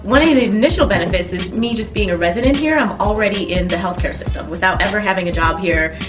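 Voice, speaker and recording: A woman's voice: mid-range voice, fast talker, over music. A woman with an American accent telling stories casually as an interviewee, acting out what others say. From Chicago, United States. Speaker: expatriate interviewee. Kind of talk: casual conversation.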